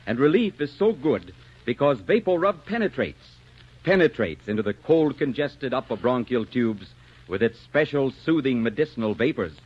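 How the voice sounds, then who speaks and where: A man announcing on old-time radio, delivering a scripted pitch with emphatic, polished salesmanship on a muffled vintage broadcast. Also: sing-song, moderate pace, husky.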